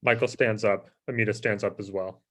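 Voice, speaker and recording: A man's voice: lowish voice, steady tone, brisk. A man narrating scripted stage directions for a live table read.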